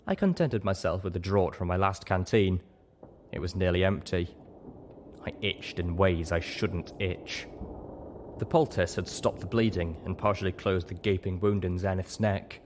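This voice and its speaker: Man, deep pitch, scripted story narration, audiobook reader, faint background noise. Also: normal speed.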